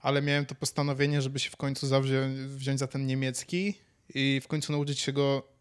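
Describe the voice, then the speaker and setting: A man's voice: medium pitch, flat delivery, a little fast, smooth. A man, talking casually as a podcast co-host. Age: 20s. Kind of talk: casual chat.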